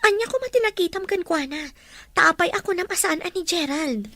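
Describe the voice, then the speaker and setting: A woman's voice: extremely high pitch, lively intonation, a little fast, bright, forceful tone, heavily acted. A woman voicing a young female student, hurt and stubbornly aggrieved, in an audio drama. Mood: hurt, doubtful. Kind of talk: Filipino audio drama dialogue in Ilocano.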